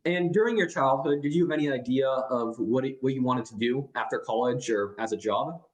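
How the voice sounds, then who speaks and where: A man's voice: medium pitch, a little fast. A young man hosts an interview podcast. He reads an introduction evenly, then asks long questions in several parts. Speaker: podcast host.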